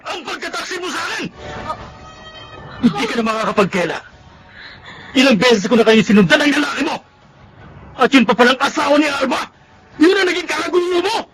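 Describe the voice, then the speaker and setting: An actor playing a betrayed Filipino husband in an emotional radio drama, with a Filipino accent and an accusing manner. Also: male voice, very high voice, lively intonation, a little fast, long pauses. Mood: furious. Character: betrayed Filipino husband and father, hurt and angry. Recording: music under the voice.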